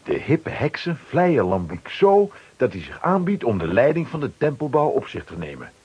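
A male narrator tells a children's adventure story in a medium-pitched, very husky voice. His reading is scripted and highly animated, with wide melodic swings. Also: normal speed, muffled recording.

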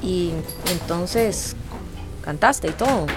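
A woman's voice: lowish voice, lively intonation, takes it slowly. An actress playing a heartbroken, rebellious teenage girl with a Costa Rican accent in a radio drama. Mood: downcast, wry. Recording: music bed behind the voice.

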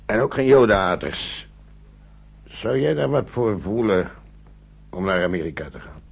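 Gravelly, low older man. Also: lively intonation, measured pace, heavily acted. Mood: fatherly, serious. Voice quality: gravelly, husky. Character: worried, plain-spoken older man, cautious father-in-law. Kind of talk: naturalistic radio play acting. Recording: muffled old radio play recording.

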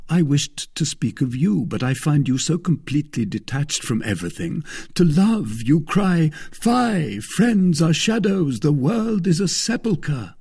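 Male reader as a teasing young fellow, sly, mock-careless, animated pitch, slightly raspy, storybook dialogue. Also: measured pace, full character voice. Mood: wry. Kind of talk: acted dialogue in a storybook reading.

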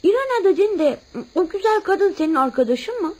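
Very high-voiced innocent curious child. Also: female voice, lively intonation, brisk, darkish tone. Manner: earnest, inquisitive. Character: innocent, curious, sympathetic young child friend. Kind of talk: radio theatre acting.

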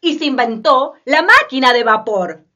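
A woman's voice: high voice, lively intonation, moderate pace, bright, forceful tone. A woman reading scripted narration for a radio play. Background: faint music.

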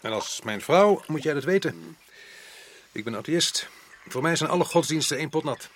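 A sardonic colonial official. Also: male voice, fairly high pitch, expressive, moderate pace, fairly bright tone. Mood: dismissive. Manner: mocking, argumentative, chuckling. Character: sardonic, outspoken atheist colonial island official, a husband. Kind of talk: radio play acting.

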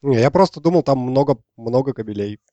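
Man, dark soft-edged tone, muffled lo-fi sound, dry, casual halting tech chat. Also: medium pitch, expressive, dry recording. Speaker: podcast discussion participant.

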